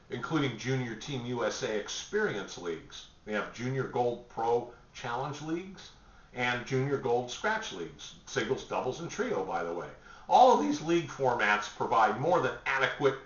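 An older American man in his sixties presenting information to camera in a halting, measured, explanatory way. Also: medium pitch, a bit bright. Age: older, about 60s. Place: small home office, indoors. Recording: echoey, distant, muffled webcam sound.

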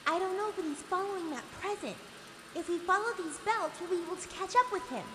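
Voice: very high-pitched, a little fast. An actor voicing a confident, upbeat young girl in a video game. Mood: composed, determined. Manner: confident, encouraging. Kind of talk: video game character acting.

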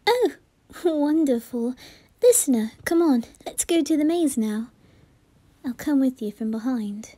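A woman voicing a teasing, tender young male aristocrat in an ASMR roleplay, with breathy asides and soft giggles. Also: high-pitched, lively intonation, unhurried, dry recording. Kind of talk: ASMR roleplay addressed to the listener.

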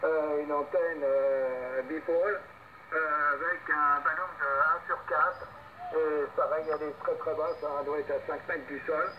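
An older man speaking haltingly and casually as a ham operator over two-way radio. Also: a little high, steady tone. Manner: halting, hesitant phrasing. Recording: tinny noisy radio link.

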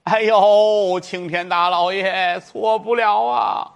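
A man voicing an elderly mother who pleads in court, crying out her lament in a drawn-out sing-song. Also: high-pitched, fairly even intonation, vocal fry, voice pushed up, heavily acted. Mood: pleading. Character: wailing grief-stricken old mother pleading in court.

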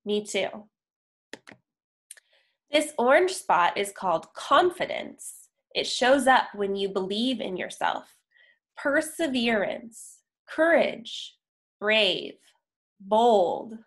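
A young adult woman reading a story aloud for young children, enunciating deliberately with pauses between words. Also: medium pitch, very animated. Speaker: children's picture book reader. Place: indoor room, on a video call.

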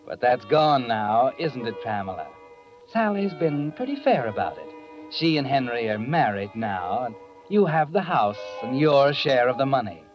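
A muffled old radio recording with music behind it. A sardonic, omniscient man played by an actor narrates a mystery, insinuating and theatrical, his pitch moving widely. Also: lightly acted. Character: sardonic, omniscient, sinister narrator addressing the villainess. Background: dramatic music.

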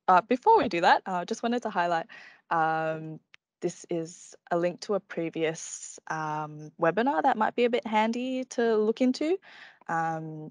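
Low-voiced woman hosting a webinar. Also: dry recording. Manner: hesitant, many fillers. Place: home room, video call.

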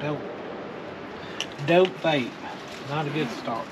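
A man in his thirties chatting casually in short, offhand remarks while sorting through boxes. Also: takes it slowly. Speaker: storage unit reseller. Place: open storage unit doorway, outdoors. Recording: noisy outdoor camera audio, vehicle rumble.

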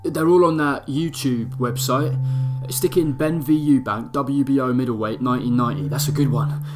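An actor as a shy young boxing fan. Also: male voice, medium pitch, moderate pace, lightly acted. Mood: eager. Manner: casual, colloquial, hesitant. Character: shy, soft-spoken young plumbing apprentice, boxing fan. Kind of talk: audio drama dialogue. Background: music.

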